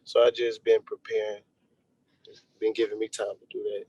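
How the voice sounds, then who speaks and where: A man's voice: on the low side, completely flat delivery, moderate pace, a little mellow, slightly rough, rough recording. Male interview guest, laid-back and reflective, casual conversational delivery. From Texas, United States. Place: remote video call.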